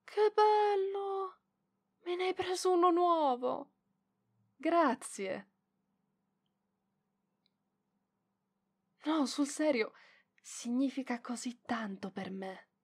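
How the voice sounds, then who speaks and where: A woman's voice: sits high, animated, slow, exaggerated voice. A woman voicing a proud young librarian in an ASMR roleplay, defensive and bashful, with hesitant stammering. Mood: delighted, moved. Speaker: voice actress.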